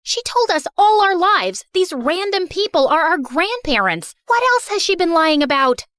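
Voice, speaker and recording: A woman's voice: very high-pitched, sing-song, moderate pace, a bit bright, dry recording, studio quality, heavily acted. A young woman playing an earnest teenage girl who narrates her own story in a dramatic, scripted style. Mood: indignant. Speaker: narrator of animated stories.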